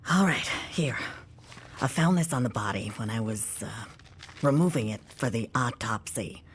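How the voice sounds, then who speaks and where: An actress playing a wry, gruff janitor with aches, blunt, folksy and conspiratorial, in an audio drama. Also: female voice, a bass voice, animated, moderate pace, a little hiss or hum.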